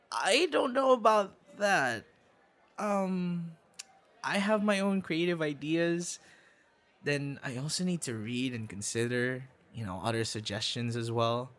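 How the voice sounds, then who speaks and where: Man acting a hesitant young boyfriend in audio roleplay. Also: mid-range voice. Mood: bashful, playful. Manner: conversational, hesitant, with stammers and soft asides.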